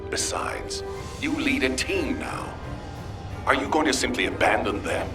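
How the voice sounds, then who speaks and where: A man voicing a firm, authoritative military commander in a video game, deliberate and stern. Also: medium pitch, lively intonation, far from the mic, music in the background, lightly acted. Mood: stern, measured.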